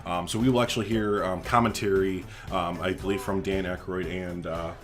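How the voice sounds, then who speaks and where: A man's voice: low-pitched, steady tone, moderate pace, fairly bright tone, soft background music. A man hosting a gaming video, giving informal let's-play commentary with jokey asides.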